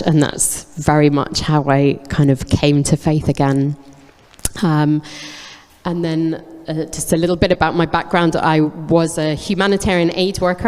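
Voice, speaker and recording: Low-voiced woman giving a church testimony. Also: darkish tone. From England. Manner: candid, reflective, with frequent ums. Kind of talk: personal faith testimony on stage. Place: church hall. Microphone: handheld mic, cutting in and out. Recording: echoey church hall with long reverb.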